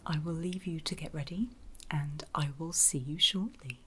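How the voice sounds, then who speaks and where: A woman whispering in a breathy, gentle and reassuring way, unhurried, as a nurse in an ASMR medical roleplay. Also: sits low. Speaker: ASMR performer playing a surgical nurse.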